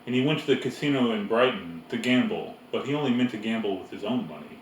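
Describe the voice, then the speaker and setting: A man voicing a brisk, knowing middle-aged farm mother with a plain-spoken, matter-of-fact manner in a storybook. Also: lowish voice, animated, echoey room, recorded from a distance, a little hiss or hum, lightly acted, rough recording.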